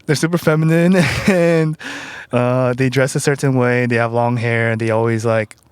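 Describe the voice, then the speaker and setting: A man's voice: mid-range voice, fairly even intonation, moderate pace, smooth, dry recording. Young man with a Koreatown accent. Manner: playful, self-mocking, laughs a lot. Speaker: guest. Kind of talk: casual podcast chat.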